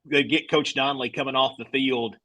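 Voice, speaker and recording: A man's voice: medium pitch, fairly even intonation, brisk, pushed and bright, dry recording. A man speaking as a broadcaster guest in casual sports talk, with a folksy, anecdotal manner. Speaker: radio play-by-play broadcaster, guest.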